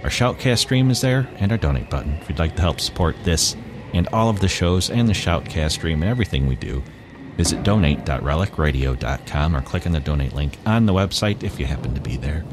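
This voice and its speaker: A man's voice: very deep voice, lively intonation, brisk, background noise. A man hosting a podcast, giving an informal spoken sign-off. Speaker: podcast host.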